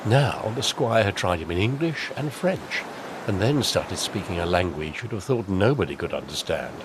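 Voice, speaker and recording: A man's voice: low-pitched, expressive, moderate pace, background noise. A man narrating a scripted audio drama as a dramatic storyteller. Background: music.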